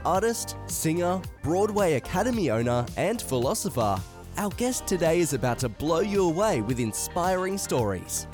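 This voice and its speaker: A man's voice: high-pitched, darkish tone. A man reading a scripted podcast introduction in an upbeat, showy way. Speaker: show announcer.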